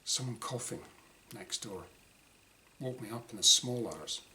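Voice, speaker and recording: A man reading his own first-person short story dramatically, in clipped diary-like phrases with pauses. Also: fairly low pitch, expressive, bright, forceful tone. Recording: clearly noisy room ambience.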